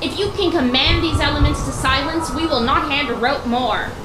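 A woman playing a brash, impatient boatswain in a Shakespeare radio play, shouting commands bluntly over a storm. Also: high-pitched, moderate pace, lightly acted. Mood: scornful, impatient. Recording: noisy storm effects, shouting crew, echo.

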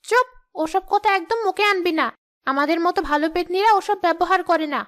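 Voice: extremely high pitch, fairly quick, a bit bright. A performer voicing a stern, proud female ghost schoolteacher who lectures and scolds in a children's cartoon.

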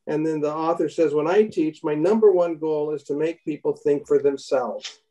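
A man in his fifties leading a bible study on a video call, reading aloud from a book with halting restarts. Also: a little high, dark tone, distant mic, rough recording. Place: home room indoors. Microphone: laptop webcam on a video call.

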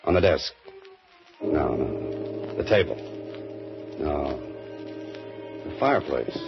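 A man's voice: very deep voice, expressive, takes it slowly, music in the background, exaggerated voice. An actor playing a cool, dry-witted male mystery writer who sleuths, in 1940s radio drama style. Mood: wry. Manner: dry, wisecracking. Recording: muffled 1940s radio broadcast.

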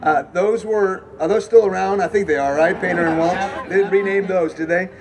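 A man in his thirties with a notably high voice preaches to a college crowd. He is animated and chatty, asking the room questions as he goes. He is on a dark stage in a large hall, wearing a lapel mic. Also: normal speed. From Houston, Texas. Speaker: campus preacher. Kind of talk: evangelistic sermon with testimony.

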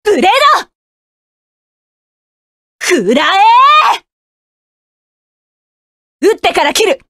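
Young mecha pilot woman, fierce, cold, commanding, curt battle shouts, very high voice, dry studio sound. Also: sing-song, extremely slow, no room sound, full character voice. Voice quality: sharp, commanding. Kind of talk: anime game voice lines.

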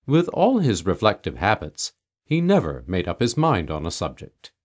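Expressive, deep-toned man reading a tale. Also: normal speed. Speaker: audiobook reader. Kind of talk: literary narration of a humorous old tale.

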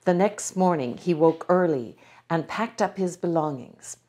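A woman reading a storybook aloud in a measured, gentle way, as a storyteller. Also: lowish voice, animated. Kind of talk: scripted storybook narration.

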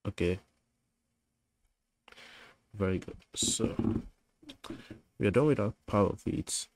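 A man's voice: lowish voice, slow pace, background noise. A man giving a screen-recorded coding tutorial, thinking aloud as he types, with fillers and self-corrections.

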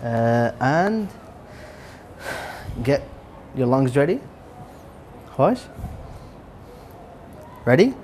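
A man's voice: mid-range voice, sing-song, drawn-out words, long pauses, a little mellow, slight background noise. A young man co-hosting a studio chat game, teasing his partner and giggling, on a lapel mic. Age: young adult. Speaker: co-host. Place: studio set with lounge chairs.

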